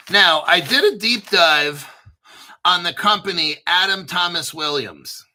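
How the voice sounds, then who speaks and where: A man's voice: on the high side, pushed and bright. A man hosting his own crypto channel, talking casually and conspiratorially, spelling out names letter by letter and swearing now and then. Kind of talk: casual solo commentary.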